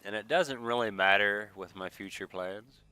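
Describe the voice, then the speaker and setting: A man giving casual, rambling let's play commentary, thinking aloud at an unhurried pace, slightly nasal with a mild sniffle. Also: lowish voice, pushed and bright, clear, resonant tone. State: mild sniffle from a recent cold. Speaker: gaming video creator, player.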